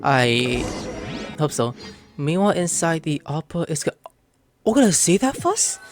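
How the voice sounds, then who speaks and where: A man's voice: a little high, big pitch swings, normal speed. A man giving gameplay commentary, reacting as he plays and reading text aloud. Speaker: let's play streamer. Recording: game music behind the voice.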